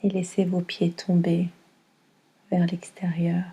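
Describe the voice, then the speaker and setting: A woman guiding a yoga nidra meditation in a calm, soothing manner, with a soft, breathy delivery. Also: lowish voice, slow pace. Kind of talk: guided meditation.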